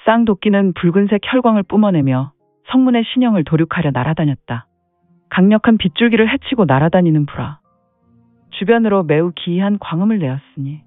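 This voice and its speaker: A man's voice: high-pitched, expressive, a little fast, dry recording. A man narrating a serial martial-arts audio drama in a dramatic storytelling style, now and then dropping in "heh heh" interjections. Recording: tinny phone-like dry sound, faint music.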